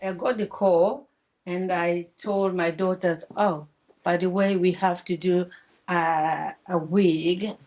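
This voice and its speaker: A woman from DR Congo, a hair braider, talking in an interview, animated and often laughing. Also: fairly low pitch, slow.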